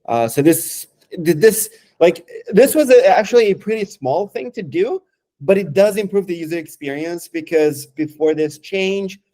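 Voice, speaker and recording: Mellow-voiced man demoing software. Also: high voice, lively intonation. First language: Romanian. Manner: halting, lots of fillers and restarts. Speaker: software developer. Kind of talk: casual screen-share product demo.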